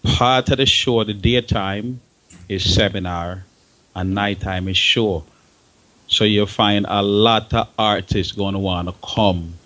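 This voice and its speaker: Low-voiced man in casual discussion. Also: steady tone, slow pace, a bit bright. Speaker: panel member on a music business talk show.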